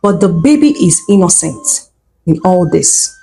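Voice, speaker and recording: A woman's voice: fairly low pitch, big pitch swings, moderate pace, creaky, warm tone, faint music behind. A woman voicing a desperate, pleading married village woman in a folktale. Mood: ashamed.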